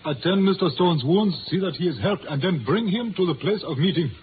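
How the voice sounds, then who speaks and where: A muffled, lightly noisy old radio recording carries a proud older Chinese patriarch. His voice sits fairly high for a man. He speaks with stately, formal gravity, every phrase measured. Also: animated. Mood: stern, commanding. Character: proud, solemn older Chinese father and community leader. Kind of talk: 1950s radio drama acting.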